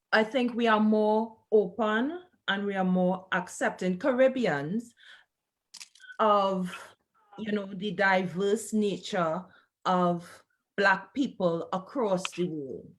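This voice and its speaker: A woman from Trinidad, speaking in a community discussion in a hesitant, thoughtful way with many pauses. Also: mid-range voice. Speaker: debate participant. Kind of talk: discussion argument.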